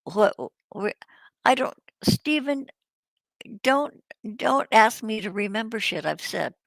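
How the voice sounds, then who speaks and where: A woman's voice: fairly high pitch, lively intonation, slow pace, gravelly, no echo. A woman hosting a casual livestream chat, rambling, laughing and swearing freely while she loses her voice. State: losing her voice. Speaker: host.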